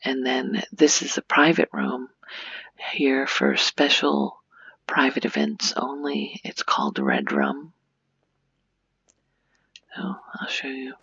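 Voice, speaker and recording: Breathy, creaky, soft-spoken ASMR woman. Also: a bass voice, animated, dry recording, dull audio. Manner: soft-spoken, hushed, often slipping into whisper; unhurried, rambling, thinking aloud. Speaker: gaming ASMR host.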